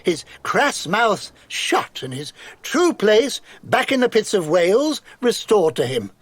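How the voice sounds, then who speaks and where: An actor in a radio drama playing a pompous, scheming royal physician, haughty and deliberate. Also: male voice, sits high, sing-song, dry recording, voice pushed up, exaggerated voice. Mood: scornful. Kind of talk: British radio drama acting.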